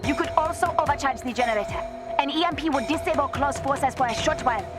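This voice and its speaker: A quick-thinking young princess played by a woman speaks in a high voice. She is cheeky and crisp, guiding the action in a video game with music underneath. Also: lightly acted. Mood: urgent. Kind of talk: video game cutscene dialogue.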